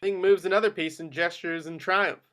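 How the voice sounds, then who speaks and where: Higher-voiced man reading stage directions. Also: steady tone, normal speed, fairly bright tone. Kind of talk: scripted screenplay narration at a table read.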